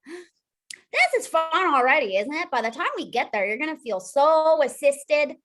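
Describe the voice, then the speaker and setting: A woman playing an eager, chatty young boy scout in an animated film script read-through, earnest and childlike. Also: high voice, a little slow.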